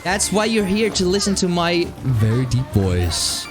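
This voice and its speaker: A man streaming a game, with a light, high-pitched voice, chatting casually and rambling with lots of laughs. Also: measured pace. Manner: animated, rambling, laughs often. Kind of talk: casual live-stream chat while playing. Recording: music playing underneath. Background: game music.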